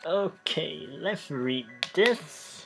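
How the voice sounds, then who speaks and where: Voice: medium pitch, animated, extremely slow. A let's play host giving a dramatic reading of game text with asides, often repeating and restarting phrases. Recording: music behind the voice. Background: music.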